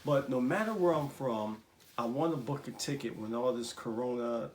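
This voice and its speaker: A man's voice: medium pitch, moderate pace. A man in his thirties talking casually and playfully with his wife, joking as he goes. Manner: playful, animated, jokes and laughs. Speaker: husband and guest. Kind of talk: casual conversation. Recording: echoey room with faint noise.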